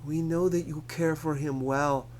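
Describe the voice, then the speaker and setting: A man voicing an earnest, pleading aunt in an audiobook, speaking with measured persuasion. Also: fairly high pitch, fairly even intonation, slight background noise, close to an everyday voice, poor audio.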